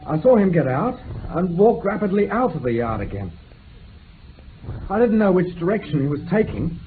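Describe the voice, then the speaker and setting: High-voiced earnest young man. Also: big pitch swings, normal speed. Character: earnest young accused son giving evidence. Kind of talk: radio drama acting. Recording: narrow faintly noisy vintage radio recording.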